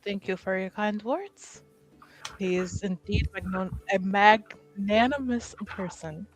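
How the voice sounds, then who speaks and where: Woman doing a polite servant in a tabletop game, formal and deferential, music softly behind. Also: medium pitch, takes it slowly, low-quality recording.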